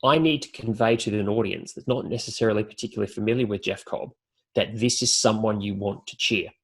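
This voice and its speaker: A man's voice: fairly low pitch, a little fast, slightly rough. An Australian man speaking as a podcast guest and announcer, explaining things articulately and building his points in lists. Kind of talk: podcast interview talk.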